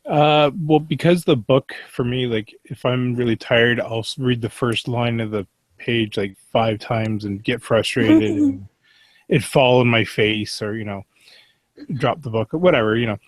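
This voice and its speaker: A man with a medium-pitched voice talks as a podcast panelist. His speech is casual and the recording is dry and close. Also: normal speed, no room sound. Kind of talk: casual chat.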